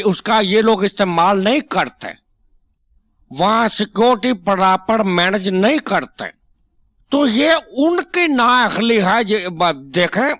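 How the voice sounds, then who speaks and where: A man's voice: high voice, fairly bright tone, dry recording, studio quality, exaggerated voice. A man with a Pakistani Punjabi accent acting a stubborn, bossy, argumentative host on a parody political talk show. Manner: interrupting, bossy, long-winded.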